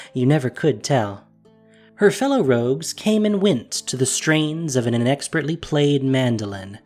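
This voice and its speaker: A narrator reading a fantasy audio drama in an expressive storytelling manner. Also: medium pitch.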